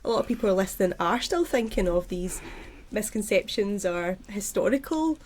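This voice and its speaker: A woman's voice: medium pitch, animated, a little fast. A woman hosting a podcast interview, asking questions with eager enthusiasm. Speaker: podcast host.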